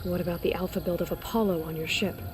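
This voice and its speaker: A woman's voice: fairly low pitch, steady tone, fast, music in the background, lightly acted. An actress playing a blunt, skeptical young warrior woman in a video game, speaking in a direct, questioning way. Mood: wary. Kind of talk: video game cutscene acting.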